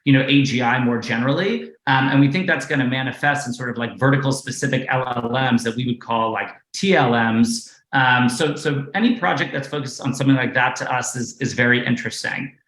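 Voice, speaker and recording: A man's voice: medium pitch, a little fast, hardly any pauses, reverberant, low-quality recording. A man being interviewed as a guest investor, talking casually with many fillers and tech jargon. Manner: filler-heavy, relaxed, jargon-laden.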